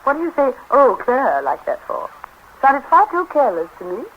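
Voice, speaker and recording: A noisy, muffled 1930s radio recording carries a capricious upper-class English woman. Her very high voice moves in lively swoops, arch and clipped, in the manner of drawing-room comedy. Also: normal speed, slightly rough, heavily acted. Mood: jealous, piqued.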